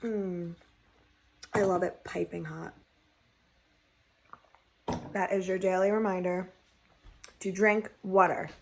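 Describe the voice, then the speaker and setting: A young woman with a raspy, tired voice, chatting casually to her viewers in a home vlog. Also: fairly low pitch, steady tone, slow, pauses often, reverberant, recorded from a distance, noisy background. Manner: chatty, rambling, talks to viewers as friends. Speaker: vlogger. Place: indoors at home.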